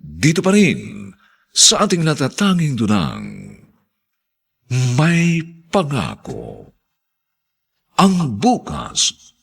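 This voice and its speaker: A man narrating a radio drama as announcer, solemn and theatrical, with drawn-out emphatic phrases and a husky voice. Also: mid-range voice, wildly swooping pitch.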